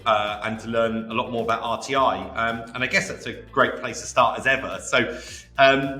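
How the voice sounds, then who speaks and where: A man's voice: mid-range voice, bright, forceful tone, roomy sound. A man hosting a podcast, speaking as presenter and interviewer. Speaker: podcast host. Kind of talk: scripted podcast intro and interview.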